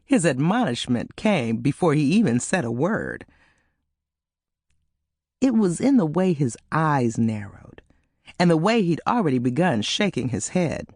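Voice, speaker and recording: A woman narrating an audiobook novel in an expressive, measured storytelling manner. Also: deep voice, no room sound, clean studio sound. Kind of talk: dramatic scripted fiction narration.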